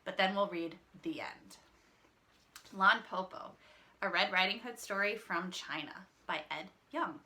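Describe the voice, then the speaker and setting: A woman reading a picture book aloud as a teacher, expressive and explaining in simple words for children. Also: mid-range voice, unhurried.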